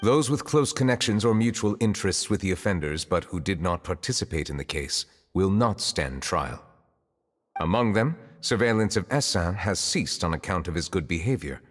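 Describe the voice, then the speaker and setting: Deep, husky, dignified high official. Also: male voice, expressive, in a large hall. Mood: formal. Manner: measured, formal, stately. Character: formal, reserved, dignified male official of high rank. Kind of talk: video game voice acting.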